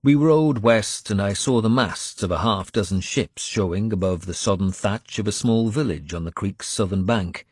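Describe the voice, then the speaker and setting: A man narrates a historical adventure in the first person. His voice is low and the sound is dry, with a dramatic, scripted storyteller's delivery. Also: moderate pace, hardly any pauses, breathy edge, no room sound. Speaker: audiobook narrator.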